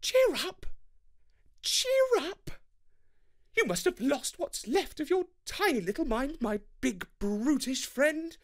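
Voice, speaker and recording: A man voicing a scornful, sassy young goddess in a children's storybook, shrill and mocking. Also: big pitch swings, slow pace, heavily acted.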